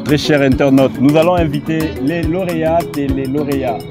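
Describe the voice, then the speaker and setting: A man's voice: on the high side, steady tone, moderate pace, music in the background. A man working as a journalist, formally introducing guests and asking them questions. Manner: formal, addressing viewers and guests. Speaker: journalist, co-host.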